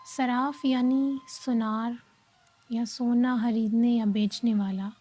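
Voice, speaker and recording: A woman reads poetry in a soft-toned, mellow voice that sits a little high. Her phrasing is measured and deliberate, like a careful recitation. Faint music plays behind her. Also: fairly even intonation. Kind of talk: expressive Urdu poetry recitation with explanatory introduction. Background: faint background music.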